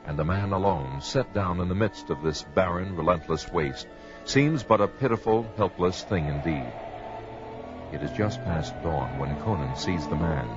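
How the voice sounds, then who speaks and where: A grave male storyteller, narrates in a very deep voice with solemn, portentous phrasing. Music plays clearly behind him on a dull vintage adventure record. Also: animated, moderate pace. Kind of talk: dramatic scripted narration.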